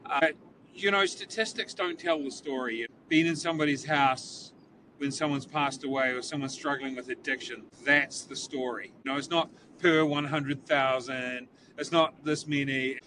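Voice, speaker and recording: A man's voice: mid-range voice, fairly even intonation, moderate pace. A man in his forties from New Zealand with a New Zealand accent, a police chief talking earnestly in a casual interview and repeating words as he thinks, recorded inside a police cruiser. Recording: distant mic, vehicle noise.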